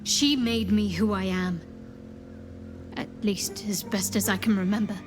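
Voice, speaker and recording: A woman playing a guarded, secretive young cleric of a dark goddess in a video game, speaking in a measured, reserved, wry way. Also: mid-range voice, fairly even intonation, natural voice. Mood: wistful. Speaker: video game companion character. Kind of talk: video game cutscene acting. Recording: music behind the voice.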